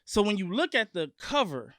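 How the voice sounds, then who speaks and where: Guy from long beach, high voice, swearing a lot and getting fired up, dry close mic. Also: normal speed, no room sound. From Long Beach, California. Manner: animated, profane and emphatic. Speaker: guest music artist. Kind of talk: casual sit-down interview.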